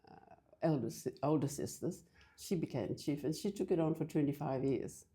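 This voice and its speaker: An older woman from Fiji, talking in a halting, hesitant way as a guest in a casual church interview. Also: low-pitched, warm tone. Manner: hesitant, halting with many restarts.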